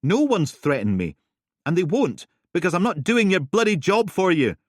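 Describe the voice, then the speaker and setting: A man playing a shrill young mother pushed into a corner, her voice high and loud, flinging accusations. Dry and close in a storybook reading. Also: big pitch swings, normal speed, no echo. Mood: angry. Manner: shouting, accusatory.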